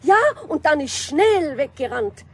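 An actress with a Bavarian accent playing a nosy, gossipy female caretaker who talks chattily and is quick to alarm. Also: high-pitched, lively intonation, a little mellow. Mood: fussing.

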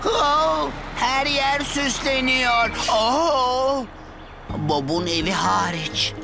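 A woman voicing a sweet, excitable crane truck in a children's cartoon, gentle, wondering and eager. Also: high-pitched, very slow, creaky, over music, heavily acted. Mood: excited. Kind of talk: animated children's cartoon dubbing.

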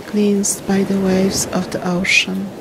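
A woman guiding a meditation in a soothing, unhurried way, speaking in short phrases with long pauses. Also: medium pitch, creaky. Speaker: meditation guide. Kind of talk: slow guided meditation narration. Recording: steady wash of ocean waves and wind noise. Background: ocean waves.

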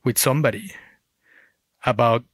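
Male interview guest, Spanish speaker, reflective storyteller, mid-pitched, very dry recording. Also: normal speed, dry recording. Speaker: guest author, former journalist.

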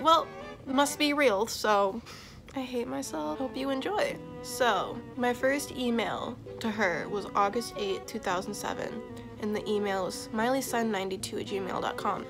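A woman in her twenties, a little congested from the flu, telling a story in a casual bedroom vlog with animated, excitable swings in loudness. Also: medium pitch. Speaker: YouTuber. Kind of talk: casual storytelling vlog, reading old chats aloud. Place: bedroom, indoors.

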